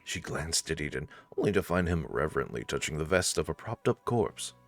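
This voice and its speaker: A man's voice: a bass voice, expressive, a little fast, a bit bright, dry recording, faint music behind. A man narrating a visual novel playthrough expressively, with casual asides.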